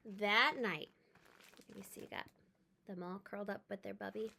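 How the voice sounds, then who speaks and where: A woman reading a children's storybook aloud as a storyteller, with dramatic, expressive emphasis. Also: mid-range voice, a little slow, gravelly, a little hiss or hum. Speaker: read-aloud storyteller, teacher.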